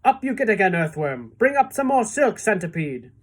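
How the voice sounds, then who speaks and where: A woman reading an audiobook, voicing a brave young boy who gives orders in urgent whispers and shouts. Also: on the high side, a little slow, voice pushed up. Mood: triumphant shouting.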